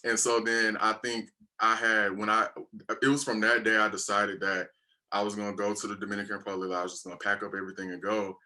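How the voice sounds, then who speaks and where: A young man interviewing a guest, talking in a relaxed, rambling, colloquial way. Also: on the low side, fast talker. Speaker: YouTube interviewer. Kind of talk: casual interview.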